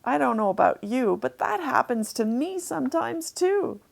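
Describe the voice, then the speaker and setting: A woman narrating a children's storybook, deliberately explaining things to young listeners as she reads. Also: sits high, sing-song, creaky.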